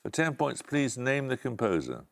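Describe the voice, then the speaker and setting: A male quiz host with a gravelly edge, reading each question briskly and with authority. His pitch rises and falls a lot, so every clue sounds lively. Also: a little hiss or hum.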